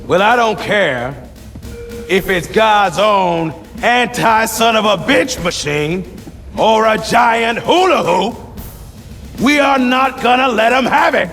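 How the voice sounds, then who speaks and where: A man's voice: sits high, expressive, slow, fairly bright tone, heavily acted. A man acting a gung-ho, bellicose Marine sergeant in a video game, barking with swagger at his troops. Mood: defiant, rousing. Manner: barking, swaggering drill-sergeant delivery with emphatic punches. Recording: game cinematic with music behind. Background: music.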